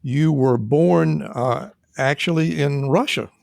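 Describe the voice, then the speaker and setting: Host: man, gravelly mid voice, dry close mic, halting. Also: a little high, a little mellow, no echo. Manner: halting, many fillers and restarts. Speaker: podcast host. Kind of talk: podcast interview.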